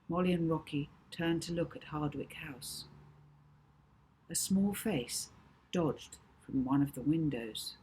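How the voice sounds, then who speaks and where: A woman reading a children's novel aloud as expressive audiobook narration. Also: low voice, slow pace, a little hiss or hum, rough recording.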